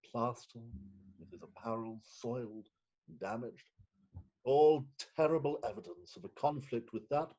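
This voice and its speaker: A man acts out a flustered middle-aged magistrate in a stage farce. He is pompous and self-pitying, with halting, theatrical delivery. The sound is a little dull and lo-fi. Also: medium pitch, darkish tone. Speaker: actor. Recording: dull remote call audio.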